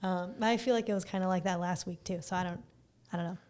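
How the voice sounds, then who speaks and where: Woman podcast co-host, bit raspy and tired, soft mellow tone, just chatting casually. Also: fairly low pitch, steady tone, fast. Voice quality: slightly raspy. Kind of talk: casual chat.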